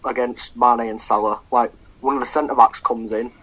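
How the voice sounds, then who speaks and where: A young man co-hosts a football podcast, and his voice has a husky, breathy edge. He talks loosely, stopping and restarting around plenty of ums and swearing freely. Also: medium pitch, steady tone, moderate pace, a bit bright, rough recording. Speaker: podcast co-host. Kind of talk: casual football chat.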